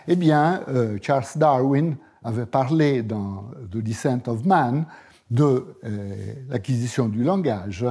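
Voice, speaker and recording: A male professor whose first language is Italian, giving a university lecture at a measured pace with frequent hesitations and fillers. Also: mid-range voice, dark tone, slightly rough. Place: lecture hall.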